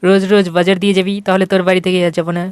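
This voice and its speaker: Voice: mid-range voice, little pitch movement, rapid-fire, darkish tone, smooth, no room sound, clean studio sound, close to an everyday voice. A performer voicing a shameless, aggrieved freeloading neighbour in a comic cartoon, brazen and combative. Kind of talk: exaggerated comic cartoon dialogue.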